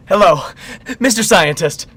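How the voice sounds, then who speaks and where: A newly switched-on robot son in a radio comedy. He speaks slowly in a raised male voice, exaggerated and naive, with some background noise. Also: high voice. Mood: over-eager, menacing. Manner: exaggerated, changes delivery on command. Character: newly activated male android son, naive, shifting personalities. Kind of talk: comedic radio theatre acting.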